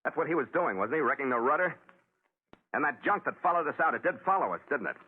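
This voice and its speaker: Fast-talking hard-boiled American captain. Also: male voice, a little high, animated, slightly rough. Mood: suspicious. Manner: terse, brusque, hard-boiled. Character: hard-boiled, brusque male sea captain telling his adventure. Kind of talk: 1940s radio drama narration and acting. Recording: tinny old radio recording.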